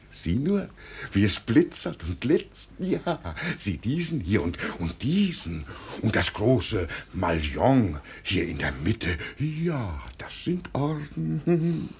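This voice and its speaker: Deluded old peasant emperor, pompous, slow halting speech, swooping pitch, radio play, noisy recording. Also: male voice, full character voice. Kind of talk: German radio play acting.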